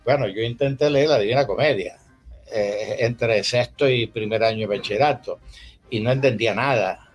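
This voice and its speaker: A man's voice: medium pitch, normal speed, soft background music. An older man from Venezuela reminiscing conversationally in a discursive, anecdotal way. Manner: discursive, anecdotal, often tagging phrases with a questioning check. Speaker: interviewed historian and former university rector. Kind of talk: conversational reminiscence at a public talk.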